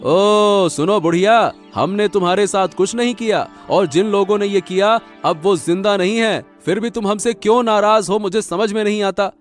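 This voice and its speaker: A man voicing a protective, resolute village father in an animated moral story, with exclamatory, expressive delivery. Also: high voice, normal speed, hardly any pauses, soft background music, natural voice.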